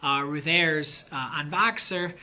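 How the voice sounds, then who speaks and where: Enthusiastic teacher-vlogger, male, crisp bright tone, rambling chatty delivery. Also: a little high, takes it slowly, slight background noise. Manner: enthusiastic, rambling with many fillers. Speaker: fifth grade teacher. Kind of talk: casual vlog chat.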